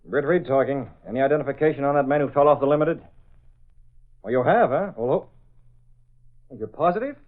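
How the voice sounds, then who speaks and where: An actor playing a shrewd, decisive newspaper boss with a brisk, authoritative manner in an old radio drama. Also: male voice, medium pitch, lightly acted. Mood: brisk, businesslike. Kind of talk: 1930s-40s radio acting. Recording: tinny phone-like old radio recording.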